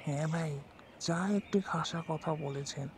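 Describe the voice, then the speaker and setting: Man acting excitable villager, highish voice. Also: moderate pace, close to an everyday voice. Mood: alarmed. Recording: clear noise, outdoor birds behind.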